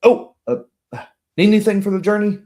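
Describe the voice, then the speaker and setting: Man playing a bustling barkeep woman, game role: raised loud voice, quick and obliging. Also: sits high, steady tone, pitched above the performer's own voice, natural voice. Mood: flustered, helpful. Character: busy, obliging female tavern barkeep.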